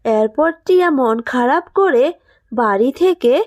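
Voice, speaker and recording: Female narrator for children's fairy tales: high voice, scripted storytelling, dry studio sound. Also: lively intonation, a little slow, a little mellow, no echo.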